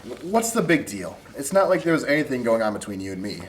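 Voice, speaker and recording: A man's voice: medium pitch, sing-song, fast talker, lightly acted. A young man reading the part of an anxious, sardonic college student at a script table read. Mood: feigned nonchalance. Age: young adult.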